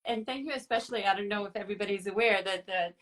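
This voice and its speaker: Woman hosting an online live chat on video call, mid pitch, friendly and informal. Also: racing, fairly bright tone. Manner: friendly, informal, some restarts. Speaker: host. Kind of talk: live social media interview.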